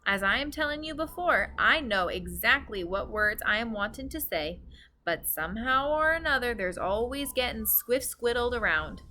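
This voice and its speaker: A woman's voice: a little high, lively intonation, moderate pace, pushed and bright, a little hiss or hum. A woman voicing a big, kindly, bumbling male giant from a storybook, theatrical and earnest, mangling his words. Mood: sad, wistful.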